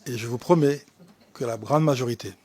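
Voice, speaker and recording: A man from Rwanda speaking as an ambassador at a hearing, answering off the cuff with emphatic repetition and halting restarts. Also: medium pitch, darkish tone, slightly rough. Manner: emphatic, halting, repeats himself. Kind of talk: spontaneous formal answers at a hearing.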